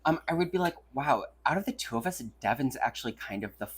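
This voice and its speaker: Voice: mid-range voice, animated, quick pace. A podcast co-host talking in casual comedic banter, with a rambling, irreverent, slangy manner. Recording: faint air conditioner hum in background.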